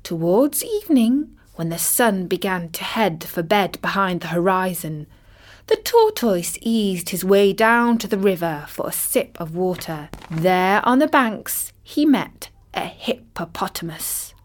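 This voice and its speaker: A woman as a slow-talking, wry old vulture. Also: mid-range voice, sing-song. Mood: wry, intrigued. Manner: wry, measured, dramatic. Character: wry old vulture telling an animal fable. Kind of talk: scripted storytelling in character.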